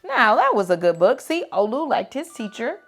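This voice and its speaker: A woman reading children's picture books aloud in an expressive, dramatic and deliberate storytelling style. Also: fairly high pitch, faint music behind.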